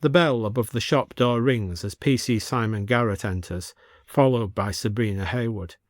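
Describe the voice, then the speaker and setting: A man's voice: on the low side, moderate pace, no room sound. Male storyteller, dry close recording. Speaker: audiobook narrator. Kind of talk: scripted story narration.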